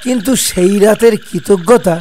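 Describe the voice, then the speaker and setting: A man playing a village uncle who tells ghost tales from his past with earnest, insistent drama, in an animated horror story. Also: high-pitched, moderate pace, a little hiss or hum, close to an everyday voice. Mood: dramatic, reflective.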